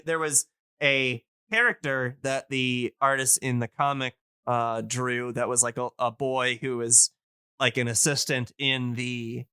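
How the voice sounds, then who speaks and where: A man's voice: mid-range voice, pushed and bright, clean tone, no room sound, studio quality. A man hosting a casual podcast, explaining things in a rambling, nerdy, enthusiast way. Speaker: podcast host.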